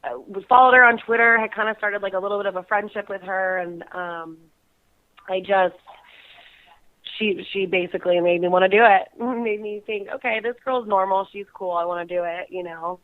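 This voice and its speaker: A woman's voice: mid-range voice, moderate pace. A young woman from California's Bay Area, a guest calling in to a casual interview, who giggles often between phrases. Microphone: phone call. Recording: tinny phone line.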